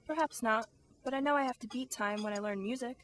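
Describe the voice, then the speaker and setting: A woman's voice: a little high, fast, fairly bright tone, natural voice. A woman playing a prim, inquisitive and outspoken young girl in an amateur audio drama. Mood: curious, prim. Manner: prim, questioning.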